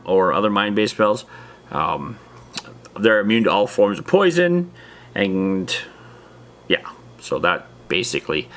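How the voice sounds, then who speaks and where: A man's voice: on the low side, fairly bright tone. A man hosting a casual explainer in an informal, rambling and enthusiastic way, talking straight to viewers. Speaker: host of a tabletop gaming lore video series.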